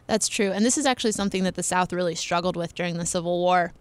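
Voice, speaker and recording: A woman's voice: fairly low pitch, fast talker, smooth, dry recording. A young adult woman hosting a podcast, talking in a chatty, playful way and laughing often. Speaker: podcast host. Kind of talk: casual podcast chat.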